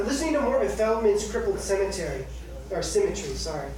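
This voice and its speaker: A man's voice: sits high, sing-song, brisk, darkish tone. Echoey, distant man reading aloud. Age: 30s. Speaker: author reading from own memoir. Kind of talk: live literary reading of personal essay. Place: indoor book-launch reading room with audience. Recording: echoey room from far off, faint noise.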